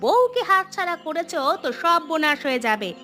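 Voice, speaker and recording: A woman's voice: extremely high pitch, very animated, moderate pace, sharp tone, faint music behind, heavily acted. A woman voicing a sly young village gossip who stirs up trouble in a moral-tale cartoon. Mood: gleefully scheming. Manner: conspiratorial, gossipy.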